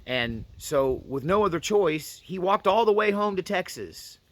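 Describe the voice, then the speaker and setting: A man's voice: sits high, lively intonation, a little slow, dry recording. A man telling a family story in a casual, unscripted, folksy way, repeating phrases for emphasis. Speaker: storyteller and host. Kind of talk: casual first-person storytelling to camera.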